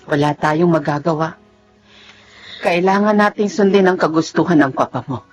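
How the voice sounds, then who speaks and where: A woman's voice: sits low, moderate pace, dull audio, faint music behind, lightly acted. A woman playing a submissive middle-aged mother and family peacekeeper in a Filipino drama, chiding and placating with a Filipino accent. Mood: placating, resigned.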